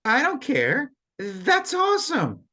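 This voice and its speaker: A woman's voice: sits high, huge pitch swings, takes it slowly, pushed and bright, heavily acted. A woman playing a flirty, laid-back, fun-loving man in an audio play, with a playful delivery. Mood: amazed, curious.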